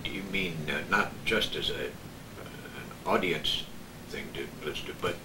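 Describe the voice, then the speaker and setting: A man's voice: sits low, moderate pace. An older American man in casual conversation as a guest, looking back on his working years. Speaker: guest, veteran broadcaster. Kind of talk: casual reminiscing chat. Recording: echoey, distant mic, clear background noise.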